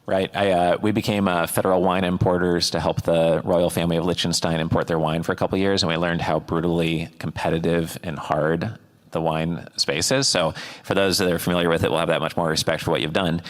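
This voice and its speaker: A male host interviewing guests, speaking deliberately with frequent pauses and fillers. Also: low-pitched, level tone, in a large hall. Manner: deliberate, with frequent ums and uhs. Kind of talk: interview questions.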